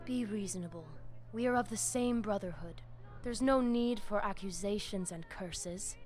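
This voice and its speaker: An actress playing a diplomatic, scheming vampire pack leader in a video game, speaking in a measured, formal and controlled way. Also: female voice, medium pitch, darkish tone, soft background music, lightly acted. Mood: placating.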